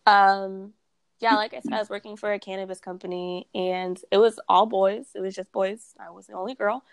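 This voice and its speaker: A young woman guest talks casually on a podcast, her voice smooth and resonant with a gravelly fry at the ends of phrases. She rambles in a chatty way, full of "like" and quick laughs. The sound is dry and close, with no room around it. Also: mid-range voice, fairly even intonation, dry recording. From Texas. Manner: chatty, laughs often, lots of "like". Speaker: guest, cannabis brand founder.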